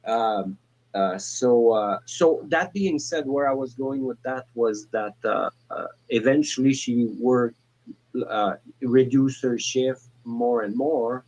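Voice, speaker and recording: A man in his forties calling in to a talk show, speaking in a halting way with many restarts and fillers. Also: medium pitch, a little mellow, rough recording. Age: 40s. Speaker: caller. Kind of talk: casual call-in chat.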